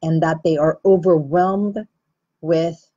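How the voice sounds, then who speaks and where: A woman's voice: low voice, fairly even intonation, slow, mellow, husky, highs cut off. A woman with an Armenian accent gives an earnest, thoughtful talk as a healing practitioner and host. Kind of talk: reflective talk to camera.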